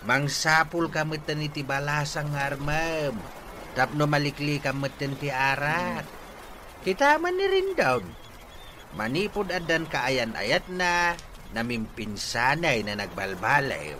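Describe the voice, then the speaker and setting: A man acts out a fretful, nagging father in a radio comedy. His voice is high and swoops widely as he admonishes. He has a northern Philippine accent, over faint background noise. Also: animated, unhurried, sharp tone. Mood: fretful scolding. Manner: exclamatory, admonishing. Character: concerned, nagging father advising young men. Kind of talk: radio comedy drama acting.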